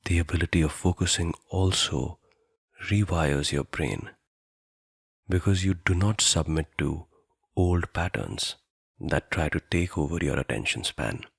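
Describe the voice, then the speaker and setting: A man guiding a meditation in a soothing, deliberate manner, leaving long pauses between phrases. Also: sits low, creaky, breathy edge, no room sound, studio quality. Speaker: meditation guide. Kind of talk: guided meditation narration.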